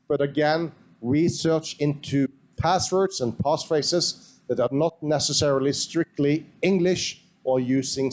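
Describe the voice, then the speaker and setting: A man with a Norwegian accent, speaking in a measured way with pauses as he introduces speakers at a conference. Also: on the high side, darkish tone. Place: conference hall.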